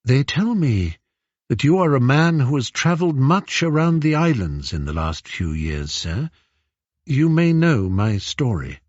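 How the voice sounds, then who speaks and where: A man's voice: medium pitch, sing-song, unhurried, no room sound, muffled recording, voice pushed up. A man voicing a gracious, weary queen in a storybook, speaking with formal, regal courtesy. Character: gracious, weary, dignified queen, a grown woman.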